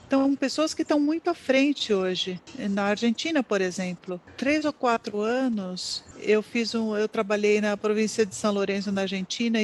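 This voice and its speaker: A simultaneous interpreter renders a lecture live, halting often and restarting words to keep up. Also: medium pitch. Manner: halting, frequent restarts and repeated words while keeping up with the speaker. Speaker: simultaneous interpreter at an education conference talk.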